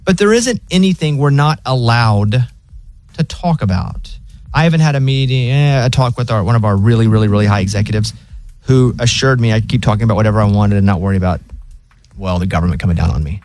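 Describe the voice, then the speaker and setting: A middle-aged man with a Southern US accent, hosting a radio show with fast, joking chat. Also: on the low side, a little mellow. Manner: fast, joking, self-deprecating.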